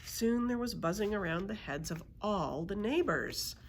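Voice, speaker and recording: A woman narrating a children's storybook aloud in an expressive read-aloud style. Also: medium pitch, a little slow, a little hiss or hum. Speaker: librarian reading aloud.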